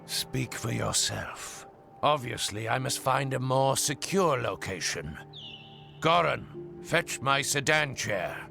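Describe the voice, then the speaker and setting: A man's voice: mid-range voice, expressive, slow, gravelly, over music. A man voicing a haughty, cowardly, self-serving prince in a video game, with a pompous drawl. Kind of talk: video game cutscene acting.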